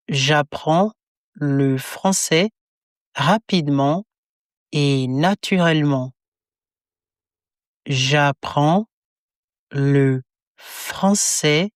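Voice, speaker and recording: A woman narrating a language lesson, reading short phrases in a calm, deliberate way and repeating them word by word. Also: low-pitched, no echo, studio quality. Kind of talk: slow phrase-by-phrase drill reading.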